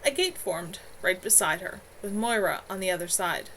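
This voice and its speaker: A woman reading her own novel aloud in an expressive amateur storytelling style. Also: mid-range voice, moderate pace. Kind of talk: live amateur audiobook-style narration. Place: at home. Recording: clearly noisy home livestream recording.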